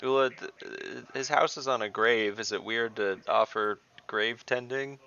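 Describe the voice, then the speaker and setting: A man's voice: medium pitch, a little slow, vocal fry, pushed and bright, smooth. A man chatting casually as a player in an online tabletop game, heard over a voice call. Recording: dull online call with slight noise, clicks.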